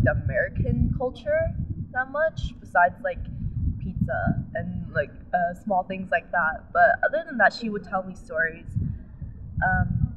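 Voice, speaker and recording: A woman answers interview questions casually, with lots of "like" and "um". Her voice comes through narrow and phone-like, with faint noise underneath. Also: mid-range voice. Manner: casual, filler-heavy.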